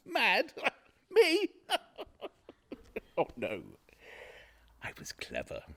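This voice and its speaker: An actor playing a mad, obsessive, boastful murderer who narrates a radio play in a halting, theatrical way, moving between whispers and shouts. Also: male voice, very high-pitched, wildly swooping pitch, vocal fry, heavily acted. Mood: manic, gloating. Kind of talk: dramatic radio play monologue. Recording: live-recorded radio play.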